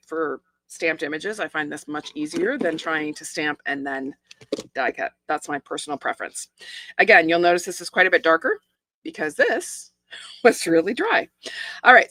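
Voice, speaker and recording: A middle-aged woman with a hoarse, croaky voice leads a casual craft tutorial, talking through each step with friendly asides. Her voice sits a little low but sounds bright and forward. The sound is dry and close, with no room echo. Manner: chatty, explains each step with asides and small laughs. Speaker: stamping and card-making demonstrator.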